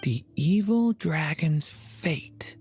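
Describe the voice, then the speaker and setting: Low-voiced, earnest young dragon boy. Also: animated, extremely slow, darkish tone, soft background music, full character voice. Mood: thoughtful, earnest. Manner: hesitant, thoughtful, polite. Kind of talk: video game character acting.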